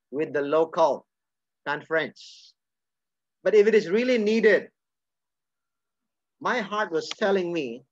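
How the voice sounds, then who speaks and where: A man's voice: a little high, no echo. A middle-aged man preaching a sermon earnestly, often restarting and repeating phrases. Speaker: pastor. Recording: dull lo-fi sound.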